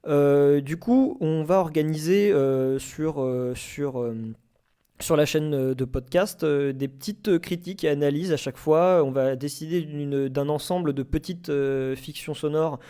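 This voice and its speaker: A man hosting a casual live podcast debate, speaking in hesitant, halting phrases. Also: a little high, steady tone, dark tone, smooth. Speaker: podcast host.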